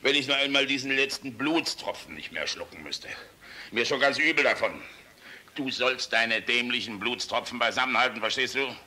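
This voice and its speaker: A man's voice: medium pitch, normal speed. An actor in a German radio play voicing an old, world-weary, passionate general, blustering and fatherly in a rhetorical manner. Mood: exasperated, urgent. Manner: blustering, rhetorical, swinging from outbursts to hushed musing. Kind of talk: German radio drama acting.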